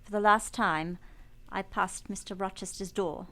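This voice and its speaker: A woman playing a sorrowful but resolute young governess, telling her story in an earnest, measured, formal way for a radio play. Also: mid-range voice, fairly even intonation, bright, forceful tone. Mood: somber. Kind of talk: first-person dramatic narration in a radio play. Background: piano music.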